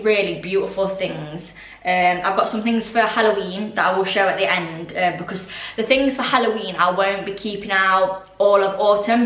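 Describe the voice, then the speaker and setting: Hoarse rambling woman, roomy echo. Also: mid-range voice, fairly bright tone, far from the mic. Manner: rambling, lots of ums, apologetic asides. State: voice going hoarse. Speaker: vlogger. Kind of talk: casual chatty haul vlog. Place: orangery at home, indoors.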